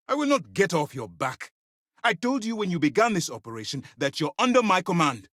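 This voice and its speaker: A low, commanding voice as a principled space-fleet officer in a video game. His formal, measured authority moves through broad, animated swings of pitch. The sound is dry and close, and he comes across as stern and firmly in charge. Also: dry recording. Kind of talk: dramatic game character dialogue.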